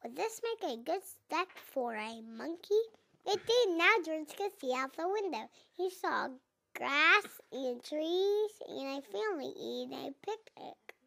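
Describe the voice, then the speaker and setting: A four-year-old girl reading a picture book aloud, haltingly, sounding out and repeating words. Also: high voice, lively intonation, gravelly, a bit bright. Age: young child, four and a half. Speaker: child reader.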